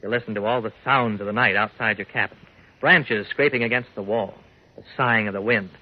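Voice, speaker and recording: A man's voice: lowish voice, a little fast, noisy background. Male narrator, dull lo-fi recording. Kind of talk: old-fashioned scripted narration. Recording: dull lo-fi old radio recording.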